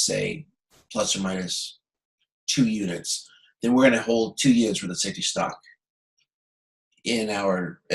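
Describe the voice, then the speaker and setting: A man giving an informal lecture as a course instructor over a video conference, explaining haltingly with frequent pauses and self-corrections. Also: lowish voice, poor audio.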